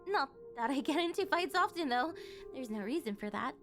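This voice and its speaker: A high, very animated voice from a woman acting as a rich older yandere girlfriend in an ASMR roleplay. She is doting and possessive, coaxing her sweetheart in a sweet, slightly awkward ramble. Faint music plays behind her. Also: a little fast. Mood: sweet, concerned. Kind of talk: scripted ASMR roleplay spoken to the listener.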